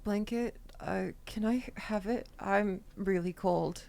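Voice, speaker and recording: A woman acting as a gentle, injured young student who likes to joke, speaking haltingly and mildly in an amateur audio drama. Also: medium pitch, vocal fry. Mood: weak, confused.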